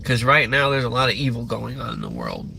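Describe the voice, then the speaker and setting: Young male streamer, bright and forceful, loose profane rambling, faint background hum. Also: mid-range voice, wildly swooping pitch, a little fast, gravelly. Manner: loose, profane, meandering talk with many fillers. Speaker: livestream host. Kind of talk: rambling live rant.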